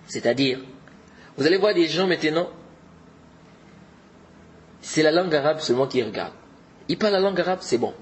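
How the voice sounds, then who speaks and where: A man's voice: a little high, animated, normal speed, long pauses. An earnest man teaches religion in a slightly raised voice. He drives his points home by repeating them and sprinkles in Arabic terms. A faint hiss sits under the recording. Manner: repetitive, exhorting, mixes in Arabic religious terms. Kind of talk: informal religious lesson.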